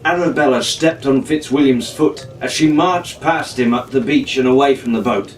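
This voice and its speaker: A man's voice: mid-range voice, normal speed, soft background music. A man reading a storybook adventure aloud as an audiobook narrator. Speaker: audiobook reader. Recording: roomy, faintly noisy, distant microphone.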